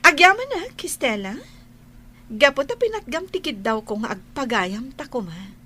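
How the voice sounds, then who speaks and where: Carla's type of young Ilocano bride-to-be in a radio drama. The voice is high and very animated, swooping up and down. The delivery is sugary, theatrical and gushing. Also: female voice, measured pace, pauses often, full character voice. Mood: sweetly smug.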